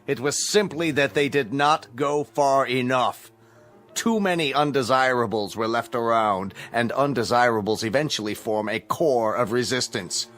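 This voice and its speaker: An actor playing a cruel totalitarian chancellor in a radio drama, formal, condescending and mockingly polite. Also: male voice, mid-range voice, moderate pace, a bit bright, faint music behind, lightly acted. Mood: smug, doctrinaire. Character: coldly cruel, smug totalitarian state chancellor, a man.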